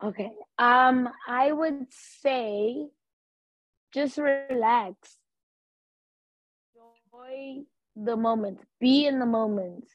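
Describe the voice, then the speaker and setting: A woman from Africa in a casual conversation, speaking in a slow, thoughtful, laid-back way. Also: fairly high pitch, no room sound. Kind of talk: casual chat. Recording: dull dry lo-fi sound.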